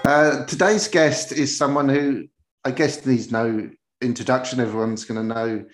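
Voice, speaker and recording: A man's voice: mid-range voice, fairly even intonation, moderate pace, faint music behind. A man hosting a podcast interview in a casual, jokey and rambling conversational way. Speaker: podcast host. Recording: roomy, echoey sound.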